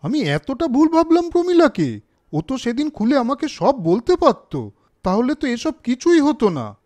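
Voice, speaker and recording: An earnest young man from a cartoon, acted by a man, speaks in a high-pitched voice that moves up and down expressively. He is sensitive and emphatic. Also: wildly swooping pitch, moderate pace, a little mellow, heavily acted. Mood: regretful. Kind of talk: cartoon story dialogue.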